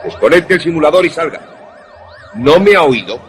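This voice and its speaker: A man's voice: on the high side, expressive, moderate pace, close to an everyday voice. A man playing a stern, authoritative air force colonel in a suspense radio drama, speaking formally and in clipped commands. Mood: stern, reprimanding.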